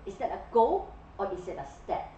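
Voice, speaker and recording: A woman's voice: mid-range voice, sing-song, a little fast, dark tone, slight background noise. A woman in her forties giving an interactive classroom lecture and often checking in with "okay?" and "yeah?". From Malaysia. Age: 40s. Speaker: guest lecturer. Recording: echoey classroom from far off, dull.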